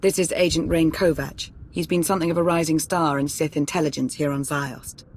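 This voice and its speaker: A woman's voice: deep voice, steady tone, brisk. An actress playing a cool, commanding female Sith intelligence minister in a video game, clipped and authoritative. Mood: businesslike. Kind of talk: video game cutscene acting.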